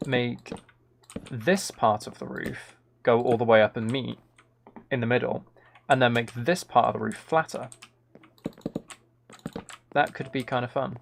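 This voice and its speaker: A soft-spoken man chatting casually on a gaming livestream, thinking aloud in a musing, tentative way as he builds. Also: mid-range voice, steady tone, a little slow, gravelly, long pauses, a little hiss or hum. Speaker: livestream host.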